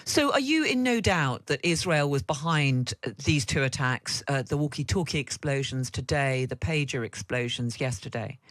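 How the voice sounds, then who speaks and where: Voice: mid-range voice, lively intonation, moderate pace, hardly any pauses, fairly bright tone, no room sound. A news presenter introducing a guest and putting questions in a broadcast interview.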